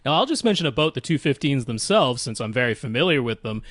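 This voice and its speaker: A man's voice: mid-range voice, sing-song, fast, a bit bright, no room sound. A man with a mid-range voice talks as a podcast co-host. He explains things step by step in a casual, matter-of-fact manner, recorded dry with no room sound.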